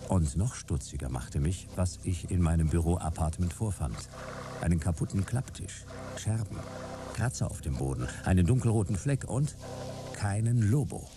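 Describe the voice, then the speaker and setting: An actor playing a dry, hard-boiled private detective in a noir radio play, speaking and narrating in terse phrases. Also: male voice, low voice, animated, normal speed. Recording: clear background noise.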